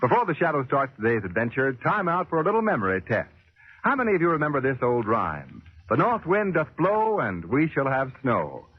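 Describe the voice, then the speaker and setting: An American man announcing in polished, emphatic 1940s radio style, like a sponsor's pitchman. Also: huge pitch swings, a little fast. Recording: muffled old 1940s radio broadcast.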